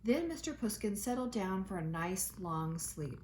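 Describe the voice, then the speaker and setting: Woman, storybook read-aloud, teacher, expressive, very varied intonation, noisy home recording. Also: medium pitch, moderate pace. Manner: expressive, dramatic emphasis.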